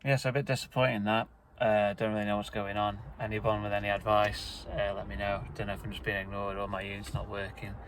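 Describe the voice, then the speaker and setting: Low-voiced man chatting casually to camera. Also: little pitch movement, fast talker, few pauses, a bit bright, a little hiss or hum. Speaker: video host. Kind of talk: casual vlog talk.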